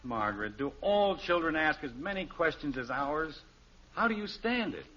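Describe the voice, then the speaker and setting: An exasperated American family father in vintage radio comedy style. The voice is fairly high and swings dramatically in pitch, with emphatic delivery. The old recording is muffled with some noise. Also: big pitch swings, normal speed, pushed and bright. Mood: puzzled, blustery. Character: exasperated, blustering suburban father of three. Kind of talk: 1950s radio sitcom acting.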